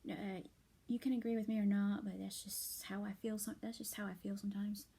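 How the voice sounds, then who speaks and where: A tired young woman venting late at night to her viewers, soft and halting, often half-whispered, with a slightly hoarse voice and many fillers. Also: mid-range voice, level tone. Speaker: vlogger.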